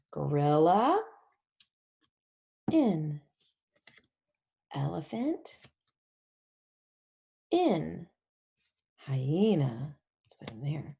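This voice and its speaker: Female read-along teacher for little kids: lowish voice, very slow pacing, exaggerated child-directed delivery. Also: gravelly, dark tone, dull audio. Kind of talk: picture-book read-along for young children.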